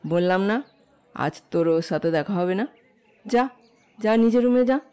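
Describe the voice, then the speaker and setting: A bossy aunt acted out in a romantic audio drama, a woman with a teasing streak. She scolds briskly and gives orders. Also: medium pitch, animated, warm tone, smooth, close to an everyday voice. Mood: scolding. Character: bossy, teasing aunt shooing her nephew. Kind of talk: Bengali audio drama acting.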